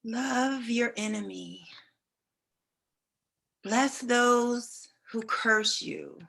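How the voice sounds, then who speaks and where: A woman acting a slow, tender dying mother. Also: on the high side, vocal fry, fairly bright tone, heavily acted. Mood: tender, solemn. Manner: slow, deliberate, solemn delivery. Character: tender mother saying a final farewell to her son.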